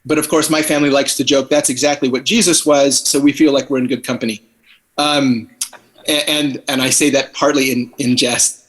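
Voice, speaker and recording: A man's voice: medium pitch, fairly even intonation, a little fast, a bit bright. Wordy, thoughtful man on a video call. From Philippines. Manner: thoughtful, wordy, restarts often. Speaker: podcast guest. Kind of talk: casual conversation on a video call. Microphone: computer on a video call.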